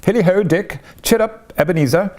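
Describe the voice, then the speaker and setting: A man playing a high-pitched, jovial old gentleman. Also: fairly quick, lightly acted. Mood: jovial, hearty. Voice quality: oily, rich, fat, comfortable voice. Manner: exuberant calling out. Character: jovial, hearty old gentleman merchant and master.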